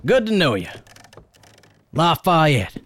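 Animated plain-spoken frontier farmer. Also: male voice, fairly high pitch, takes it slowly, full character voice. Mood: polite, plain. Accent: rural Southern US. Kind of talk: radio drama acting.

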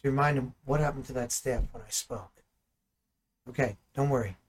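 A man in ministry teaching the Bible in conversation, animated and full of rhetorical questions. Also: medium pitch, moderate pace, slight background noise. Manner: animated, asks rhetorical questions, acts out dialogue.

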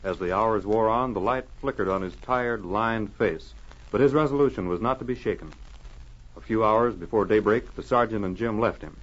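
Low-voiced vintage radio adventure narrator, male. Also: moderate pace, a little hiss or hum. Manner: formal, dramatic storytelling. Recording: muffled old radio recording with music.

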